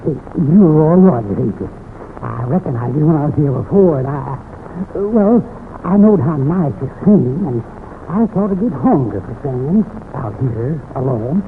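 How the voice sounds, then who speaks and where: A simple, good-natured backwoods layabout in an old radio western. His voice is on the high side, with a stammering folksy drawl, and the sound is tinny with faint noise. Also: animated, moderate pace. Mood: friendly, sheepish. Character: shiftless, simple, kindly country cousin, music-hungry.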